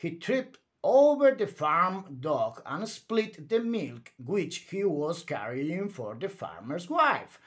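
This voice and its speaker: A man's voice: a little high, a bit bright. A man reading a children's story aloud in a theatrical, emphatic bedtime read-aloud style.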